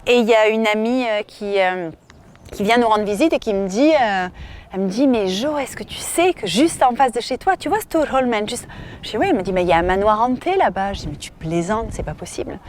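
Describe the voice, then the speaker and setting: A woman from southern France, a novelist, telling stories in an interview with lively fillers and restarts. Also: mid-range voice, fairly quick, a little hiss or hum. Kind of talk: casual interview answers. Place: outdoor festival.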